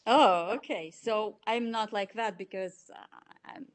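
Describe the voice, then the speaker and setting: A woman in her forties from Belarus with a Russian accent, answering interview questions in a halting way with many fillers. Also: medium pitch. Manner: halting, searching for words, frequent fillers. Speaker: CFO, interviewee.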